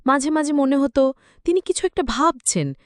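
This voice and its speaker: A woman's voice: high voice, expressive, moderate pace, no room sound, studio quality. A woman reading a scripted, emotional story as its narrator.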